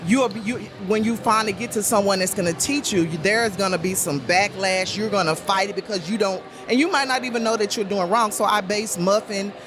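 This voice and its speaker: A woman with a deep voice talking as a podcast guest, rambling with many restarts and fillers. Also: brisk, hardly any pauses, background noise. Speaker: guest, puppet show creator. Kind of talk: casual podcast conversation.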